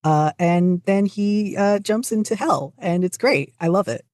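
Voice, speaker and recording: A podcast guest chatting casually, speaking in halting but enthusiastic bursts. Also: mid-range voice, darkish tone, no room sound, studio quality. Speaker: podcast guest, fan fiction writer. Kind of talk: casual interview chat.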